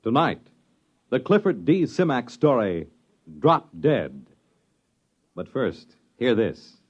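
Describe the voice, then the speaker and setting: A man's voice: mid-range voice, big pitch swings, slow, husky. A man with an American accent announcing a 1950s radio show in a portentous, theatrical manner. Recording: dull vintage radio recording. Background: loud music.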